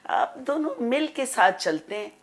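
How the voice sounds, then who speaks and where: A woman's voice: on the high side, very animated, unhurried. A woman with a Pakistani accent speaking conversationally as a guest in a panel discussion. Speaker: talk show guest.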